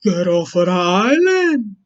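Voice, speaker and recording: A woman voicing a quarrelsome, territorial male frog in a storybook, forceful and declaring, on a slightly dull recording. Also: medium pitch, sing-song, slow pace. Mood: bossy, angry. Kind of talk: storybook character voice.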